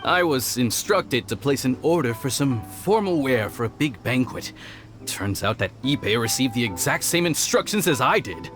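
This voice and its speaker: A man's voice: mid-range voice, sing-song, moderate pace, close to an everyday voice. An actor playing a fretful, earnest young male retainer who is tired from lack of sleep and often sighs and hesitates, in a video game cutscene. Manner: sighing, hesitant. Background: game music.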